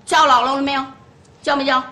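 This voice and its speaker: A domineering granny. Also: female voice, sits high, level tone, quick pace, reverberant. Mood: taunting. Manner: nagging, scolding, sharp tongue. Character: harsh scolding older grandmother, domineering. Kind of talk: TV drama acting.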